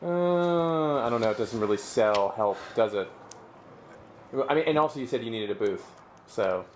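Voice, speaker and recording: A man comments dryly from off camera in a deadpan manner. His voice sits at an ordinary middle pitch. Faint noise sits behind him. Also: normal speed.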